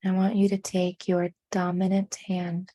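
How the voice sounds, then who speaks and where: A woman leading a guided meditation in a hushed, soothing manner, with deliberate phrasing and frequent pauses. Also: fairly low pitch, completely flat delivery.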